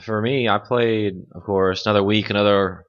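A man's voice: on the low side, fairly even intonation, normal speed. A man co-hosting a podcast, chatting casually with lots of fillers and the occasional laugh. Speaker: podcast co-host. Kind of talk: casual chat. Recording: dull lo-fi audio.

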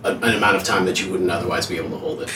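Low male co-host, roomy off-mic sound, easygoing explaining. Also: steady tone, rapid-fire, pushed and bright, far from the mic, slight background noise. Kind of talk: casual chat.

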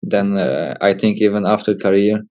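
A Polish man in his twenties with a Polish accent, giving casual interview answers as a footballer in hesitant, halting English. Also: fairly low pitch, darkish tone. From Poland. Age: 20s. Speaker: professional footballer, interview guest.

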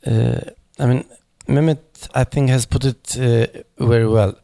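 A man speaking at a memorial panel, halting and searching for words, with many fillers and restarts in English that is not his first language. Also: medium pitch, steady tone, gravelly, warm tone. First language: not English; foreign accent in Turkish noted.

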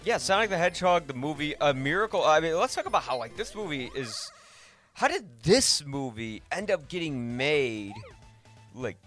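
A man's voice: a little high, sing-song, moderate pace, a bit bright, faint music behind. A man hosting a podcast, talking casually about films in a rambling, thinking-aloud way. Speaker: podcast host. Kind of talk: casual film discussion.

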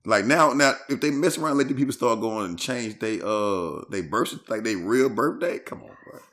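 A man's voice: mid-range voice, expressive, normal speed, fairly bright tone. A man co-hosting a podcast, bantering casually in slang with an incredulous, reactive manner. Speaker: podcast co-host.

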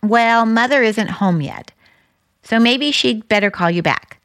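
A woman acting in a radio play as a brisk young daughter of the household. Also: a little high, expressive, fairly bright tone, dry recording, studio quality. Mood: chirpy, matter-of-fact.

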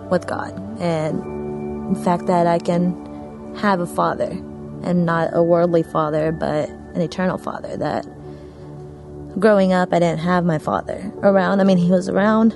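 A woman from Afghanistan giving a reflective personal testimony about coming to faith, with a soft, hesitant way of speaking. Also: on the low side, gravelly. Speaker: church member giving testimony. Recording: music playing behind the voice.

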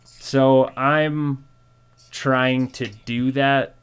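A man in his twenties from Colorado, hosting a casual chat in a relaxed, conversational way with lots of "you know". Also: mid-range voice, very slow, smooth. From Colorado, USA. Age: 20s. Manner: laid-back, conversational, peppered with "you know".

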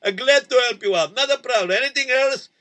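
A man's voice: very high voice, fairly quick, pushed and bright, pitched above the performer's own voice. A man voicing a kindly uncle who gives folksy, reassuring advice. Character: kindly advising uncle, middle-aged.

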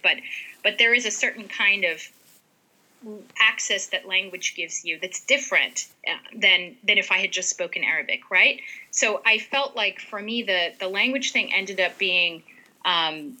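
A woman's voice: medium pitch, sharp tone, poor audio. A woman author and ethnographer, a native Western Armenian speaker, answering interview questions thoughtfully with many fillers and restarts.

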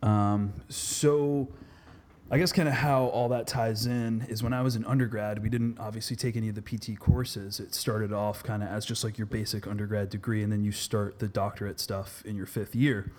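A man in his twenties from Massachusetts, a physical therapy student talking casually as a podcast guest, relaxed and chatty with lots of "kinda" and "um". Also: on the low side, normal speed. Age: 20s.

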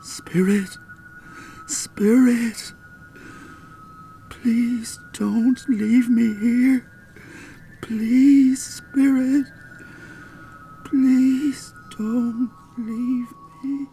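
An actor playing an anguished, frightened and remorseful old father in a radio play, halting and pleading. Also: very high-pitched, level tone, warm tone, faint music behind, full character voice. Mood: desperate pleading.

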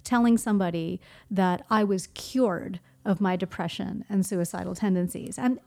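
A woman talking as a guest on a podcast, her voice mid-pitched and mellow. She speaks reflectively, often raising a question and then answering it herself. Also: normal speed, creaky. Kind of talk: casual podcast conversation.

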